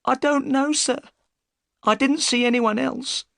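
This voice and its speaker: A man voicing an upset, tearful working woman in high falsetto for a storybook reading. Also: fairly even intonation, unhurried, vocal fry, fairly bright tone, no echo, studio quality, heavily acted. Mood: anxious. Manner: high, strained falsetto, sobbing at times.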